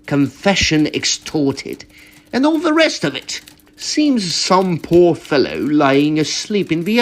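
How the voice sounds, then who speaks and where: An actor playing a wry Edwardian gentleman in a period audio drama, with theatrical, formal old-fashioned phrasing. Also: high voice, heavily acted. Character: Edwardian gentlemen, detective and office colleague, deliberate, wryly dry. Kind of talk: period radio drama dialogue.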